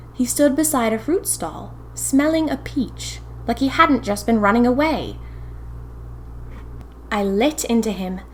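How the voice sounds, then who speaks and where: A woman's voice: mid-range voice, natural voice. Chatty young 1920s diarist, acted by a woman, noisy background. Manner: chatty, dramatic, wry. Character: young 1920s typist confiding eerie happenings to her diary. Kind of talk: first-person audio fiction diary narration.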